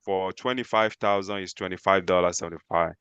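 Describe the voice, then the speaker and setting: Smooth, deep-voiced man explaining. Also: fairly even intonation, a little fast, a bit bright, dry recording, highs cut off. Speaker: insurance agent. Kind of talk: informal explanation in a meeting. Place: remote online group call.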